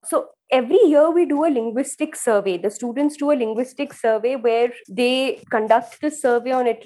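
A woman's voice: on the high side, a little mellow, rough recording. A woman with an Indian accent, a professor whose first language is Kangri, answering interview questions earnestly and often repeating words as she thinks. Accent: Indian English. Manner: earnest, repeats words while thinking, addresses host by name. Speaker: education professor, guest.

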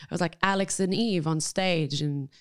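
Smooth-voiced Lebanese Australian woman, lowish pitch. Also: moderate pace, no echo, studio quality. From Lebanon, moved to Australia at thirteen. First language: Arabic. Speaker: guest, actor. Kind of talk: candid conversational interview.

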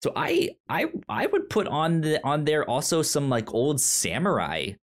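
A man co-hosting a podcast in casual movie chat, talking haltingly with stammered repeats. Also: a little high, wildly swooping pitch. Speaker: podcast co-host.

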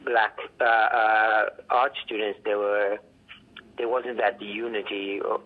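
A man from Haiti with a heavy Haitian accent, an artist telling his story in a phone interview, halting and restarting as he acts out old conversations. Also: on the low side, flat delivery. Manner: reflective, halting with restarts, acts out quoted dialogue. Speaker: artist and art professor. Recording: tinny phone line.

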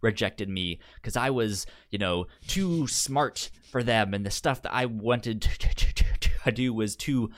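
A man co-hosting a podcast in casual film discussion, halting and often repeating words as he paraphrases. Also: fairly low pitch. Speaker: podcast co-host.

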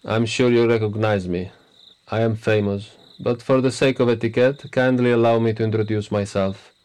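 An actor playing a pompous, smug prime minister in a radio play, lecturing with boastful self-importance. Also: male voice, fairly low pitch, steady tone, normal speed, a little mellow, smooth, close to an everyday voice. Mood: smarmy. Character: pompous arrogant male prime minister, middle-aged. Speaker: politician.

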